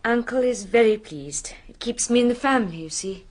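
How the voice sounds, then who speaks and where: A woman's voice: a little high, animated, brisk. An actress playing a breezy young society woman in a radio drama, talking in a playful, pert manner. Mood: teasing.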